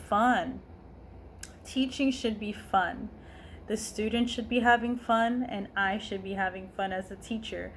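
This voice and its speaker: A young woman in her twenties speaks to camera at an unhurried, easy pace, her pitch sitting in the middle of the range. She sounds earnest and heartfelt, often asking herself questions before answering them. A faint hum of noise sits under her voice. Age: 20s. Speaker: online language teacher. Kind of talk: casual talk to camera.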